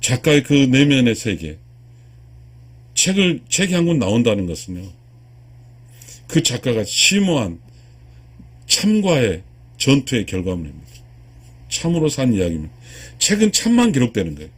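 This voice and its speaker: A husky-voiced man in his sixties delivers an earnest spiritual lecture. His pitch swings widely as he stresses and repeats key phrases. He addresses his viewers directly, like a teacher. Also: very animated, normal speed, pauses often. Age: 60s. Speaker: lecturer, video channel host.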